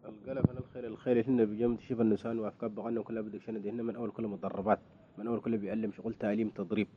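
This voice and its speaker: A man interviewing in a casual conversation, talking quickly with frequent restarts. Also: on the low side, level tone. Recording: dull lo-fi recording with faint noise.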